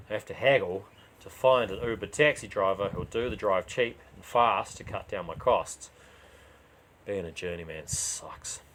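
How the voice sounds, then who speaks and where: Low-voiced New man reading fiction. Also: a bit bright, a little hiss or hum. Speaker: author reading own crime story. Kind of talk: dramatised first-person story narration.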